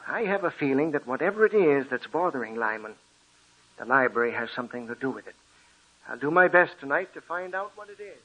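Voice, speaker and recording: An actor playing a calm, authoritative doctor in 1940s radio acting style, steady and reasoning. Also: male voice, medium pitch, fairly quick, husky. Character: calm, commanding male doctor friend. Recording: muffled old radio recording.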